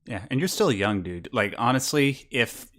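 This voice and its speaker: A man's voice: mid-range voice, expressive, moderate pace, fairly bright tone. A man hosting a call-in stream, offering rambling, encouraging advice with lots of fillers and asides. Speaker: host. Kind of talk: casual call-in advice chat. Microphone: video call.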